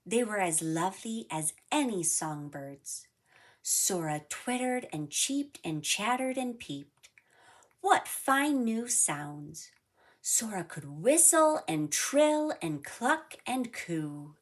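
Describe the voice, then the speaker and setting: A woman narrating a children's storybook in a deliberate, animated read-aloud style. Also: mid-range voice. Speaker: storybook reader.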